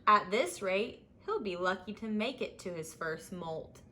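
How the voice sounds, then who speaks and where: A woman's voice: mid-range voice, animated, measured pace, bright, forceful tone. A woman voicing gloomy, disapproving old snakes in a storybook, fretting and scolding. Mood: disapproving worry. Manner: fretful, scolding.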